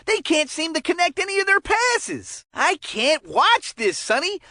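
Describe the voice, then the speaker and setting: A woman voicing an excitable, chummy ex-player color commentator in a cartoon hockey game, with folksy, chatty delivery and mild exclamations. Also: very high-pitched, unhurried, no echo, clean studio sound, exaggerated voice. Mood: dismayed.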